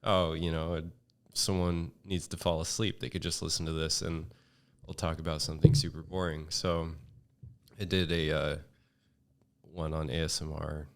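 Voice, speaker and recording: A man talking as a podcast guest in casual chat at home, in a monotone way, halting with many fillers and restarts. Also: extremely low pitch, a little hiss or hum.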